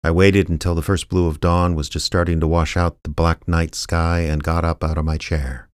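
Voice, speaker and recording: An actor playing a laconic small-town private investigator, narrating his case in a deadpan, understated radio drama style. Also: sits low, normal speed, smooth, dry recording, studio quality, natural voice. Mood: wry, measured. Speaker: narrator and lead character.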